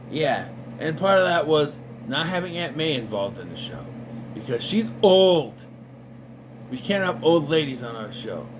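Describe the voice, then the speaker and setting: A man in casual commentary, reviewing an animated series in a rambling, sarcastic way with lots of fillers. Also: on the high side, a little slow, background noise. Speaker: video reviewer.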